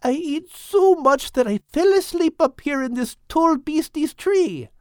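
A man doing a high, folksy tomcat. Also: expressive, measured pace, dry recording, studio quality. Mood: cheerful. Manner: homely folksy talk, calls her "love" and "lass". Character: cheerful, affectionate male cat, proud warrior. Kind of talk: storybook reading.

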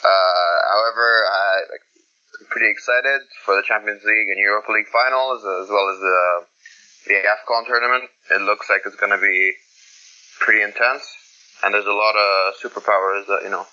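A man from Egypt, a guest on a podcast, speaking haltingly with many "uh"s. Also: lowish voice, fairly even intonation, pushed and bright. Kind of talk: casual podcast chat. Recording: muffled call audio.

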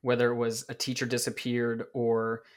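A man hosting a casual interview, asking questions in a relaxed, conversational way. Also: mid-range voice, normal speed.

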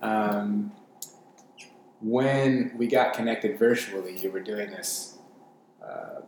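Low-pitched interviewer in a very echoey room. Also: lively intonation, takes it slowly, gravelly, darkish tone, a little hiss or hum. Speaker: interviewer, host. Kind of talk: conversational interview. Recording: echoey room with music behind.

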